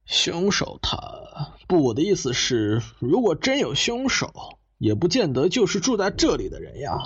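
A man's voice: on the high side, huge pitch swings, normal speed, husky, heavily acted. A man acting a defensive, indignant suspect in a mystery radio drama, protesting in an agitated way. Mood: flustered, defensive. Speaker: voice actor in an amateur audio drama. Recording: slightly dull, muffled recording.

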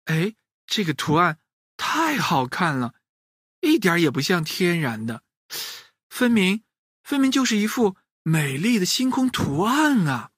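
A man voicing an excitable chicken king in a children's story, exclaiming in a raised, urgent voice. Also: sits high, a little slow, breathy edge, dry recording, clean studio sound, pitched above the performer's own voice, heavily acted. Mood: amazed.

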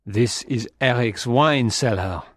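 A man's voice: mid-range voice, takes it slowly, no room sound, studio quality. A man voicing an earnest, anxious young rescuer in audiobook dialogue. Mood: concerned.

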